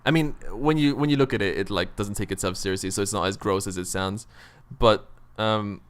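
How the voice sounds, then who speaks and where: Low voiced guy hosting a chill gaming podcast, jokey rambly chat. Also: brisk, clean tone. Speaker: podcast host.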